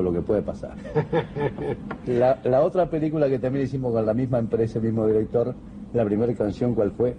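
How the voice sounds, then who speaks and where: Man, noisy archival clip. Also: fairly low pitch. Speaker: singer and actor. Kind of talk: anecdotal interview storytelling.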